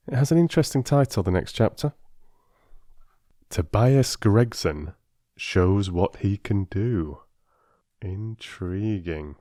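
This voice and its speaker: A man speaking as an audiobook narrator and producer, in a measured, courteous storytelling style. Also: deep voice, dark tone, no echo, clean studio sound. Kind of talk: measured storytelling and listener address.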